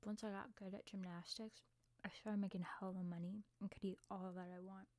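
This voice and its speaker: A woman voicing a brash young female gymnast in a casual, slangy, breathy whisper for an ASMR roleplay. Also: medium pitch, flat delivery, a little fast, darkish tone, dry recording, close to an everyday voice. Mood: candid, confident.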